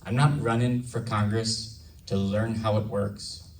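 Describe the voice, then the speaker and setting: A hoarse, slightly raspy man giving an earnest campaign speech at a candidate forum. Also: lowish voice, level tone, measured pace, far from the mic. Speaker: congressional candidate. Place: large event hall.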